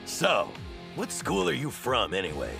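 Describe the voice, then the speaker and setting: An actor playing a gruff, short-tempered teenage sumo captain in an anime dub, confrontational in manner. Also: male voice, medium pitch, wildly swooping pitch, measured pace, exaggerated voice. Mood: suspicious. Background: music.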